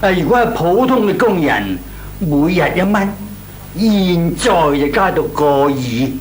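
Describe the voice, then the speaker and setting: A man playing a patient older uncle in an old Hong Kong Cantonese radio comedy, explaining and chiding in a deliberate way. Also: high-pitched, big pitch swings, rough recording. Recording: old radio recording with hiss and hum.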